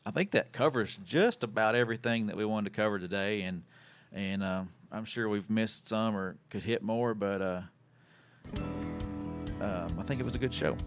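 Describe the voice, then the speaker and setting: Low-pitched man hosting a casual show. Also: normal speed, soft background music. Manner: folksy, plain-spoken, informal. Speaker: show host. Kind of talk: casual radio and podcast chat.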